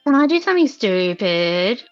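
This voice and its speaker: A woman's voice: mid-range voice, sing-song, normal speed. A woman chatting casually and informally as a player in a tabletop roleplaying game. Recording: muffled audio with music underneath. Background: background music.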